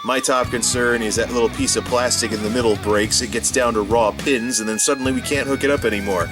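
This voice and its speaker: A man's voice: medium pitch, fairly even intonation, fairly quick. A man talking off the cuff as a gadget vlogger, rambling and wry with playful asides. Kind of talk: casual off-the-cuff product demo. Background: music playing from speakers at times.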